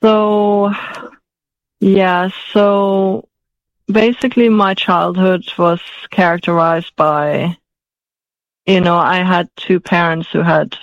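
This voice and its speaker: Danish-raised woman in her 40s, slow and halting, lots of ums, flat delivery. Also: lowish voice, no echo, muffled recording. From Denmark. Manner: hesitant, many fillers and restarts. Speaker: guest, author. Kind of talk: interview answers.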